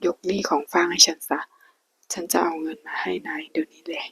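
A woman's voice: on the low side, fairly even intonation, a little fast, vocal fry, slight background noise, poor audio. A woman voicing a smug, mocking young man in a whispered story reading. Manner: taunting and sly, hushed.

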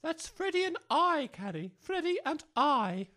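A woman's voice: sits high, sing-song, a little slow, fairly bright tone, exaggerated voice. A woman playing a pompous, snobbish new-age academy head in an amateur comedy audio drama, speaking precisely in lofty jargon. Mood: condescending.

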